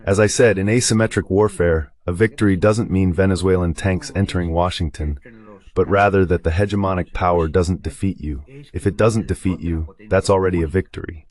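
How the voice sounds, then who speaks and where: A man with a very deep voice speaks as a guest analyst. The delivery is a translated voice-over of spoken geopolitical commentary. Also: steady tone, brisk, darkish tone.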